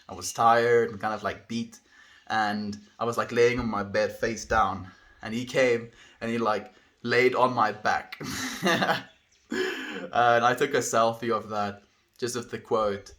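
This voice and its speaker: A man's voice: on the low side, pushed and bright. A man with a South African accent, a live streamer chatting casually with his viewers and rambling through musings while calling them dude and friend. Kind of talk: casual livestream chat reading viewer comments.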